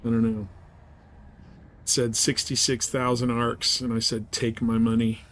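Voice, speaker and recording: A man's voice: mid-range voice, completely flat delivery, unhurried, fairly bright tone, slight background noise. A man livestreaming a game, chatting casually and thinking aloud in a rambling way. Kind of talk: casual gameplay stream chat.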